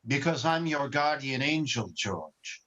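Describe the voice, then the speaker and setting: A man playing a gentle, earnest, bumbling guardian angel with a hesitant, genteel manner in 1940s radio drama style, recorded over a video call. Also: medium pitch, animated, a little slow. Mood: proud, kindly. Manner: hesitant, old-fashioned, genteel. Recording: dull, muffled video-call audio.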